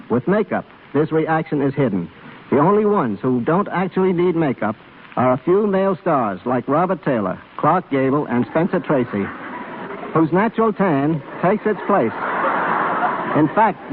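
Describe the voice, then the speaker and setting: A man speaking as a guest expert in a scripted 1930s radio interview, explaining things formally and with careful phrasing. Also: on the high side. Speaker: film cameraman guest. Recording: scratchy, tinny 1930s radio broadcast.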